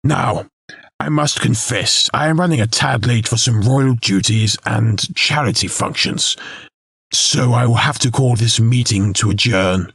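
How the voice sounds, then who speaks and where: Man playing a pompous husky lord, gravelly edge. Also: mid-range voice, a bit bright, no room sound. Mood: curt, self-satisfied. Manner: formal, pompous, measured oratory. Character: male noble lord, silky, self-important political schemer. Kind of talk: scripted audio drama acting.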